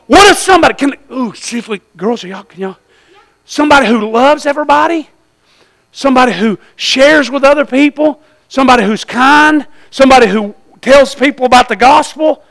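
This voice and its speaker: A man's voice: very high-pitched, brisk, gravelly, a bit bright. A man with a Southern US accent preaching an evangelistic sermon in a folksy, exhortatory way, putting questions to his listeners and repeating phrases for emphasis.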